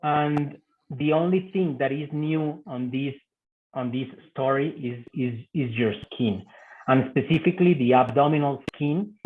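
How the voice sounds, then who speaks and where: A man from Mexico speaking English with a Mexican Spanish accent, giving an online medical talk in a halting way with many fillers and repeated words. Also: mid-range voice. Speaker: guest speaker, physician. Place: video call. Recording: tinny phone-like video call audio.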